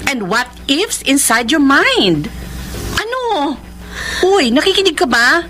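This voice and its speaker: An actress playing a blunt, sassy best friend in a Filipino radio drama, emphatic and scolding in a Filipino accent, mixing in English. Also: female voice, sits high, very animated, takes it slowly, bright, forceful tone, a little hiss or hum, heavily acted. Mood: goading, blunt.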